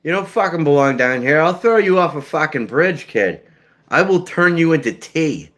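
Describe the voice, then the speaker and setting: A man's voice: fairly high pitch, lively intonation, moderate pace, voice pushed up. A man voicing a menacing, swearing tough guy with a thick South Shore Boston accent. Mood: threatening. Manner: threatening, swearing, shouting.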